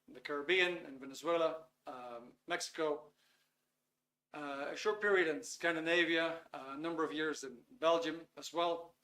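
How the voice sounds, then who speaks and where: A man's voice: fairly high pitch, fairly bright tone. A man in his fifties with a Dutch accent, hosting a live webinar in English, talking at an easy pace and sometimes searching for words. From Netherlands. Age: 50s. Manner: unhurried, searching for words, many fillers. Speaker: webinar host.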